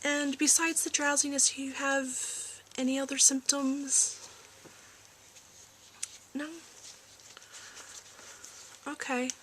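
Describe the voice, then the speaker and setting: A woman doing a soft-spoken ASMR roleplay as a calm research doctor, gentle, reassuring and a little hesitant, in a home recording. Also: sits high, slow pace, long pauses. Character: calm corporate research doctor giving a checkup. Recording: faint background noise, home ASMR recording.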